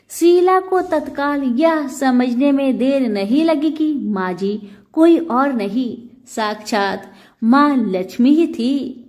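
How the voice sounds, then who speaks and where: Woman, high voice, very unhurried pace, reverent scripted storytelling. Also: a little mellow. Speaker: devotional storyteller. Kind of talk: scripted devotional story narration.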